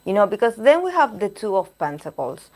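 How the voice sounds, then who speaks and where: A woman reading tarot cards on a live stream, chatting with viewers and pausing to search for words, her voice going hoarse. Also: medium pitch, sing-song, a little mellow, poor audio. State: losing her voice. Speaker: tarot reader.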